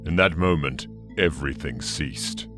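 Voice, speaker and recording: An actor playing an ancient, bitter demon conqueror in an audio drama, brooding and contemptuous in a menacing monologue. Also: male voice, rumbling low, over music. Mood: resentful. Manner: slow, menacing, brooding delivery.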